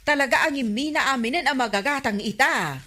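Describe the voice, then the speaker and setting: A woman's voice: high voice, fairly quick, sharp tone. A woman playing an exasperated, short-tempered village councilor who keeps a shop, scolding and complaining in a comedy radio drama.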